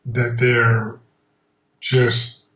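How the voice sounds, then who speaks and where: A man from Honduras speaking in a documentary interview, measured and reflective, pausing with ums. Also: mid-range voice, monotone, a bit bright. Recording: roomy sound from a distant mic.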